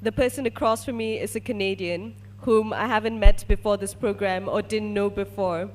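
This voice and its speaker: A woman's voice: mid-range voice, steady tone, moderate pace. A woman from Malaysia, a research scientist, telling a personal story to an audience as a testimonial.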